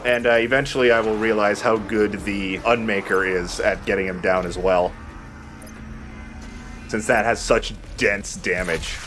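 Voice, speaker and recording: Low-voiced man chatting over gameplay. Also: fairly even intonation, a little slow, over music. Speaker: let's play host. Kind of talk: casual gameplay commentary.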